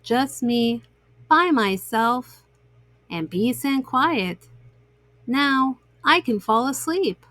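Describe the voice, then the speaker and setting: A woman's voice: high-pitched, expressive, drawn-out words, a bit bright, no echo, heavily acted. A woman voicing a polite, childlike little boy bunny in a bedtime storybook. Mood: weary.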